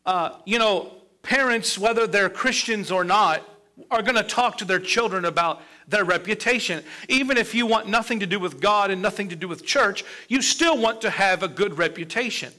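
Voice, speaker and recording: A middle-aged pastor delivers a sermon in a fairly high voice, stepping around the pulpit and building his points with pauses. His tone is bright and forward. He was recorded by a microphone near the pulpit in a church sanctuary. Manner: preaching with steady build-ups and pauses, gesturing at a pulpit. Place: church sanctuary with a colorful children's stage set.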